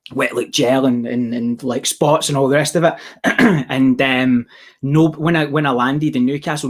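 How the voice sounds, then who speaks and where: A young man from Scotland with a softened Glaswegian accent, answering questions about his business in a casual, rambling way full of restarts. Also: mid-range voice. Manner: rambling, many restarts and fillers. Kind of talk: casual Q&A chat.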